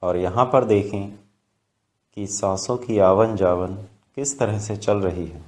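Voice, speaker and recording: Man, meditation guide, lowish pitch, very slow delivery, calm, measured, instructional.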